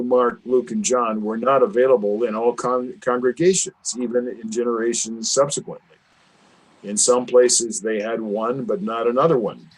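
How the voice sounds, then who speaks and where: Male webinar host, formal and precise, lectures over a call, mid-pitched. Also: rough recording. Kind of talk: measured discussion on a video call. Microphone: video call.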